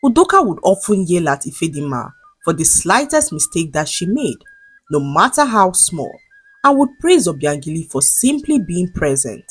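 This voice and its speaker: Woman narrating folktales, lively swooping intonation. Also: lowish voice, moderate pace, breathy edge, dry recording, faint music behind. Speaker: storyteller, narrator.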